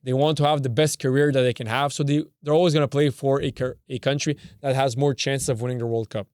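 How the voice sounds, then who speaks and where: A man's voice: medium pitch, steady tone, fast talker, darkish tone, smooth, no echo, studio quality. A young man from Canada whose first language is Serbian, hosting a podcast in a rambling way, often correcting himself and swearing casually. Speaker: podcast host. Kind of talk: casual football talk.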